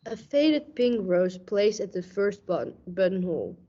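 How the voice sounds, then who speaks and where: A woman plays a brooding police detective in a radio play. The delivery is dramatic, deliberate first-person noir storytelling. The recording is a bit dull and boxy. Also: medium pitch, lively intonation, warm tone. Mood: tense, suspenseful. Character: hard-boiled, brooding San Francisco police detective lieutenant. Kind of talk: hard-boiled detective narration. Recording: dull lo-fi audio.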